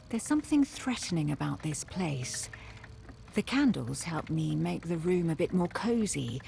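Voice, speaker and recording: A woman playing an uneasy, introspective therapist in a brooding, measured video game monologue. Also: on the low side, gravelly, dark tone, slight background noise.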